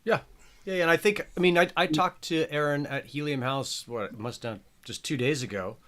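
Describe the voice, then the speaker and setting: A man's voice: on the high side, brisk, fairly bright tone. A man hosting a casual podcast chat, rambling and chatty with plenty of filler words. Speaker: show host.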